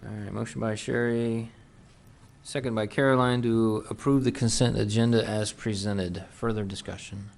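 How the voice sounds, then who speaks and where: A man serving as mayor, running a council meeting in a brisk, businesslike way. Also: lowish voice, fairly even intonation, gravelly, a little mellow. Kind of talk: formal meeting procedure.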